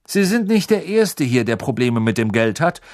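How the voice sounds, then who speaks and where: A man's voice: mid-range voice, big pitch swings, moderate pace, a little mellow, pitched above the performer's own voice, close to an everyday voice. A man voicing a suspicious, plain-spoken campsite owner in a storybook. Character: suspicious, gruff ordinary male campsite owner.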